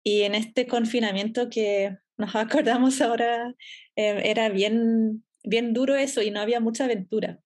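German woman guesting on a podcast, kinda high voice, relaxed, laughs a lot. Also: moderate pace. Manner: relaxed, explanatory, laughs easily. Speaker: entrepreneur guest. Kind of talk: podcast interview.

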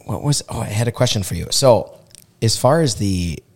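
A man hosting a podcast, hoarse from shouting, with a low raspy voice, asking long rambling questions full of restarts. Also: animated, normal speed. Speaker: podcast host. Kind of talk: casual interview chat.

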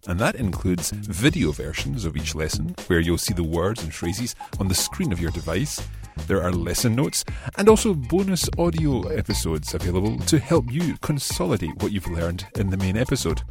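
A man's voice: deep voice, sing-song, normal speed, music in the background. A man teaching a language lesson as host, carefully breaking phrases down and translating them in a didactic way. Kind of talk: explanatory French grammar lesson, phrase by phrase.

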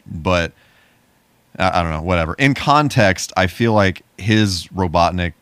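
A man's voice: low-pitched, unhurried, fairly bright tone, smooth, dry recording. A man chatting casually as a podcast host, in an informal, rambling way. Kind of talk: casual podcast chat about movies.

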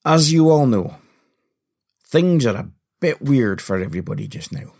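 A man's voice: medium pitch, very animated, moderate pace, darkish tone. A Scottish man hosting a story podcast, narrating in the first person in a folksy, confiding way.

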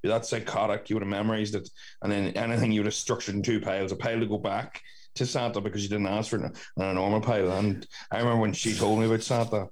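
Low-voiced Northern Irish man chatting. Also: level tone, fast talker, darkish tone, low-quality recording. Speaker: podcast host. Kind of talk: casual chat, telling childhood anecdotes.

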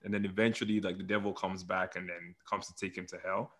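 A man's voice: low-pitched, flat delivery, quick pace, fairly bright tone. A young man from Nigeria, telling his story casually as an interview guest.

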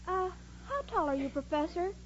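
A woman's voice: extremely high pitch, lively intonation, normal speed. An actress playing a sweet, naive, dim-witted young woman in a 1940s radio comedy, guileless and earnest. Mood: earnest, busy. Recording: dull, noisy old radio recording.

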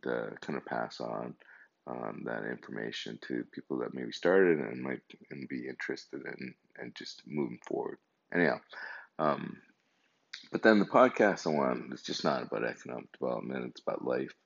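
Fifties male podcast host, gravelly, meandering and chatty. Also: extremely low pitch. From Canada. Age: 50s. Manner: meandering, conversational. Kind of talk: casual podcast monologue. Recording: dull lo-fi recording.

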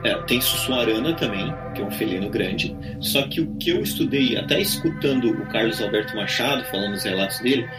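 A man's voice: mid-range voice, lively intonation, brisk, few pauses. A man with a Brazilian Portuguese accent, casually telling anecdotes as a storyteller in a conversation. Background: background music.

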